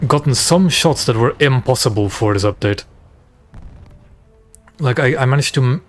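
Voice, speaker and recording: A Dutch-speaking man from West Flanders with a Flemish lilt on his English, talking through a game stream. His delivery is loose and rambling, full of restarts as he juggles gameplay calls and viewer questions. His voice sits at an ordinary middle pitch. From West Flanders, Belgium. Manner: relaxed, rambling, answers chat between game calls. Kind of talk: casual gameplay stream commentary.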